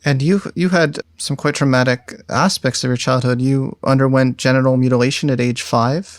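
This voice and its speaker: A man's voice: medium pitch, steady tone, fairly quick, no echo, studio quality. A man hosting a conversational podcast interview, informal and rambling as he rephrases his questions. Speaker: podcast host; psychologist.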